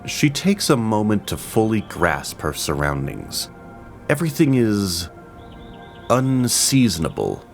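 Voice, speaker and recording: A man narrating a fantasy audio drama, reading with expressive, dramatic pacing. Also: lowish voice, unhurried, soft background music. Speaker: storyteller.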